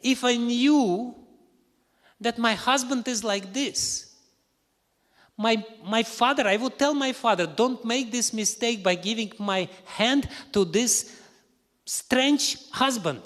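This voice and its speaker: A man voicing a devoted young princess who angrily insists on following her husband, in a high, strained, pleading voice. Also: takes it slowly, a bit bright, heavily acted. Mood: indignant. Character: devoted young princess, fiercely loyal wife. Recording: reverberant hall.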